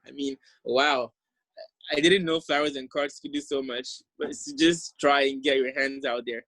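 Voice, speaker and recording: A young man from Ghana with a Ghanaian accent softened toward American, giving a friendly, enthusiastic talk over slides. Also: medium pitch, no echo, rough recording. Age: young adult. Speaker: exchange alumnus presenter. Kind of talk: casual presentation over slides.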